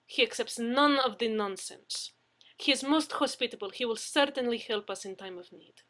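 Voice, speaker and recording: A woman's voice: a little high, normal speed, a bit bright, pitched above the performer's own voice, close to an everyday voice. A woman voicing a coy, effusive young Russian woman who speaks broken English with a Russian accent in a story. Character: coy, theatrically pleading young foreign woman, Russian fiancée.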